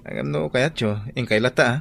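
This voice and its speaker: An actor playing a devoted, easygoing young boyfriend in a radio drama. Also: male voice, mid-range voice, brisk, vocal fry, fairly bright tone, a little hiss or hum. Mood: easygoing, sincere. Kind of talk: Ilocano radio drama acting.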